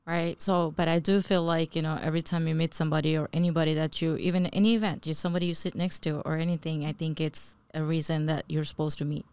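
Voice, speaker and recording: Low-voiced woman in her forties. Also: flat delivery, quick pace, few pauses, a little mellow, smooth, no room sound. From Bangladesh, raised in New Jersey. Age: 40s. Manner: rambling, laughs often. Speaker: real estate investor guest. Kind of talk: casual interview chat.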